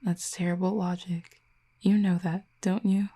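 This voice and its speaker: A woman playing a wry, tender, guarded Cupid in an intimate audio roleplay, with soft, breathy, husky delivery and gentle, slightly formal phrasing. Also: on the low side, level tone, unhurried. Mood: incredulous.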